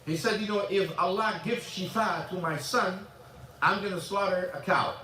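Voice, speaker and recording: High-voiced imam teaching in an echoey mosque. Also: male voice, sharp tone, slight background noise. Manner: halting, repeats words, switches into Arabic. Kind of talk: informal religious lesson with questions. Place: mosque classroom. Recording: echoey room, mic at a distance.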